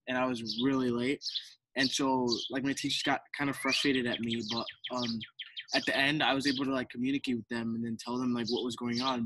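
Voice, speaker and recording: A man's voice: mid-range voice, noisy background. A teenage boy from Colombia whose first language is Spanish, reading a picture book aloud to children with many hesitant ums. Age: teens. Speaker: student reader.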